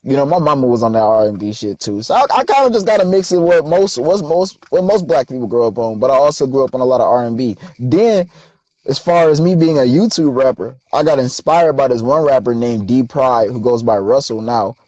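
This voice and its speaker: A young man, a rapper being interviewed, telling stories in a relaxed, slangy way. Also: medium pitch, brisk, dry recording, lo-fi sound. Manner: laid-back, slangy storytelling. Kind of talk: casual interview chat.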